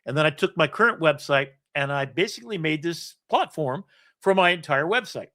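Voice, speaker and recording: A man's voice: a little high, expressive, fairly quick, pushed and bright. A man in his sixties hosting a show, casually walking through a screen-shared document in a rambling, self-deprecating way with the odd curse. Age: 60s. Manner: rambling, self-deprecating, swears now and then. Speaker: host. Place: home setup with an iPad.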